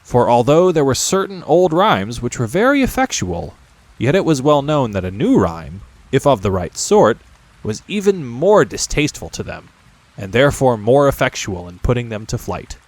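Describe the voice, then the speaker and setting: Male storyteller, animated intonation, medium pitch, scripted audiobook narration. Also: moderate pace, no echo.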